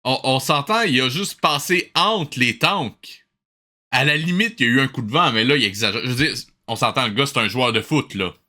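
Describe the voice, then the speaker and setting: A man's voice: medium pitch, expressive, normal speed, sharp tone. A man with a Quebec French accent doing casual comic commentary, incredulous and slangy, dropping in bits of English. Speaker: host reacting to old cartoons.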